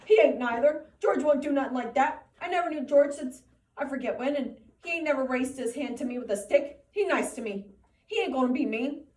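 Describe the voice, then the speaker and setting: A woman reading aloud and voicing a big, simple-minded man who pleads in a loud rural drawl, heard from a distance in a roomy space. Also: medium pitch, brisk, natural voice. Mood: frantic.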